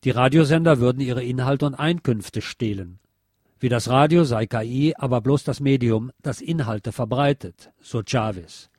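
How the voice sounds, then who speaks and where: A man narrating a scripted journalistic essay in a measured, formal read-aloud delivery. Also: mid-range voice, darkish tone, no echo, studio quality.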